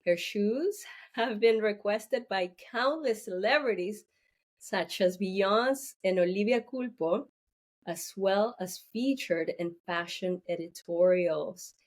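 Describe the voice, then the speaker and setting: Slow-speaking woman interview host. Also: mid-range voice. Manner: slow, encouraging, many affirmations. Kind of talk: interview hosting.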